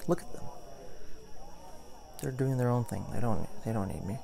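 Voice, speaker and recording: A young man playing a caring boyfriend in an ASMR roleplay, soft-spoken, hesitant and reassuring. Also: medium pitch, normal speed, vocal fry, noisy background, natural voice. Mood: concerned, reassuring. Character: caring, gentle young boyfriend comforting his partner. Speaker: roleplay voice performer. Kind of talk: ASMR boyfriend roleplay, intimate casual talk. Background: party and night ambience.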